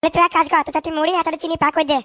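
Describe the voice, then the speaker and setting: An actor performs a boastful, hot-headed son in a comic cartoon. The voice is high and very fast, excitable and full of repeated bluster. It is recorded very dry. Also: dry recording. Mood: bossy. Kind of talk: comic dialect cartoon dubbing.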